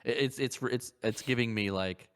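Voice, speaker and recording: A man's voice: medium pitch, moderate pace. A man co-hosting a podcast, talking in an informal, conversational way. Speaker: podcast co-host. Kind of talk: casual chat.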